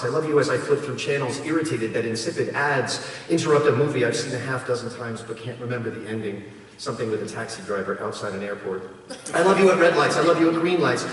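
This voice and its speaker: A man's voice: fairly high pitch, a bit bright. Male poet at a live reading, emphatic, wryly comic, recorded at a distance in a very reverberant hall. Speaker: poet reading own work at a live event. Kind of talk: performed poetry reading, spoken word. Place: performing arts hall in front of an audience. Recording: echoey hall, distant mic, noisy room ambience.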